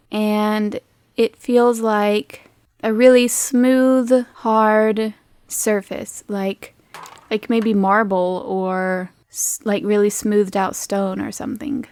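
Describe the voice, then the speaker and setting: Woman, higher voice, game master, improvised tabletop narration, informal, hesitant phrasing. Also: clear, resonant tone, no room sound, clean studio sound.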